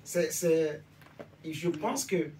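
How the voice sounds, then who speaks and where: A man's voice: on the high side, extremely slow. A man from Benin preaching informally to a congregation, talking conversationally and often checking that his listeners follow him. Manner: conversational, asks listeners for agreement. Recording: distant mic with faint background noise.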